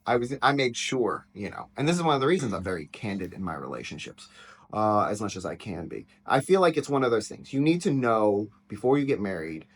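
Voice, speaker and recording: A man with a loud, penetrating voice giving candid, rambling commentary as a teacher reacting to his own book. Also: fairly low pitch, big pitch swings, fairly quick, slightly rough.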